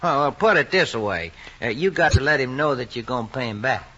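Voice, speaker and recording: A man's voice: medium pitch, lively intonation, fairly quick, pushed and bright. An actor playing an easygoing, optimistic farmer father in an old rural drama, with a folksy Southern American way of talking. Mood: easygoing, hopeful. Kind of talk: vintage rural screen drama acting. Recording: dull old film soundtrack.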